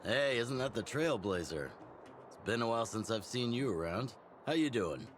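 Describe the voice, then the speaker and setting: A man voicing a genial middle-aged town chief and mentor in a game, relaxed and teasing in a fatherly way. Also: mid-range voice, normal speed, slight background noise, lightly acted. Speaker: community chief.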